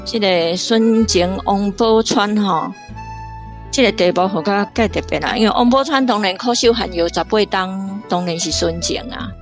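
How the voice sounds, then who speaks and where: A woman's voice: medium pitch, moderate pace, soft background music. A woman with a Taiwanese accent giving informal literary commentary as a guest professor, explaining point by point.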